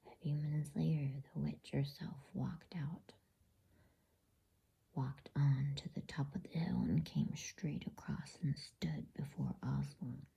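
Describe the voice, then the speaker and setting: Very husky whispering woman, slow. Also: rumbling low, animated. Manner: hushed, unhurried. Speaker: storyteller. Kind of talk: ASMR bedtime story reading.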